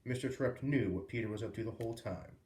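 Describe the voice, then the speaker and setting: Low-voiced man reading aloud in echoey room. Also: fast, a little mellow. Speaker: read-aloud storyteller. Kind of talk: expressive children's book read-aloud. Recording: roomy echo, distant microphone.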